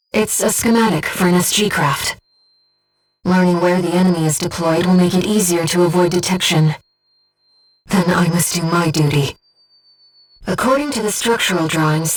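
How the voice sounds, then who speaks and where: A woman voicing a gentle, earnest disembodied being in a video game, guiding a pilot with composed, precise, softly formal delivery. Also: fairly low pitch, normal speed, far from the mic, natural voice, low-quality recording. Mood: composed, focused. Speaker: mission support operator. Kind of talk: scripted video game voice acting.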